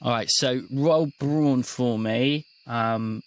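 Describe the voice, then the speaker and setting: A man with a medium-pitched voice works as game master. He narrates slowly and casually, feeling his way through an improvised tabletop story with halting phrases.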